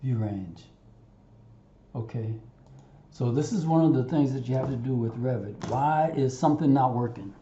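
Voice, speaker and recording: Male tutorial instructor, very mellow dark tone, halting think-aloud delivery, roomy muffled recording. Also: mid-range voice. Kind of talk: unscripted screen-recording tutorial narration. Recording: roomy, muffled, audible background noise.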